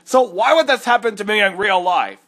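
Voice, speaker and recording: Man voicing an irritable high-pitched cartoon echidna. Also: lively intonation, brisk, fairly bright tone. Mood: exasperated. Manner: indignant, scornful, easily exasperated. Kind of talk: comedic dramatic reading with exasperated asides.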